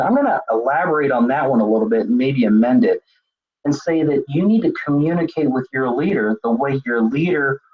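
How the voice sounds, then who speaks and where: A man with a husky, slightly breathy voice lectures in a loose, conversational way, often restarting his phrases. The audio is muffled and lo-fi, like a recorded webinar. His pitch sits in an ordinary middle range. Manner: conversational, with frequent fillers and restarts. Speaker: web seminar presenter. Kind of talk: lecture on leadership communication.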